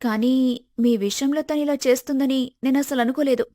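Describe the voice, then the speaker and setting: A woman voicing a polite, grateful young woman in an animated moral story, respectful and earnest. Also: high-pitched, normal speed, lightly acted. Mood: earnest, helpful.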